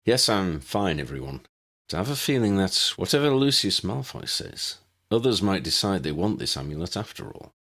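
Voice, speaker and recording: A man's voice: low-pitched, very animated, moderate pace, a bit bright, heavily acted. A man voicing an earnest young wizard hero in an audiobook story, direct, alert and concerned. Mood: resolute.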